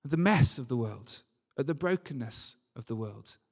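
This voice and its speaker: A man's voice: medium pitch, expressive, normal speed, creaky, darkish tone. A man preaching a sermon to a congregation in an emphatic manner, using rhetorical questions and repetition. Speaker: preacher, church leader. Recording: reverberant hall sound.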